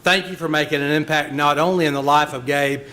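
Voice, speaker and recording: A man's voice: fairly high pitch, steady tone, a little fast, sharp tone, slight background noise. A man, emceeing a youth leadership graduation and praising a group in a speech to the audience.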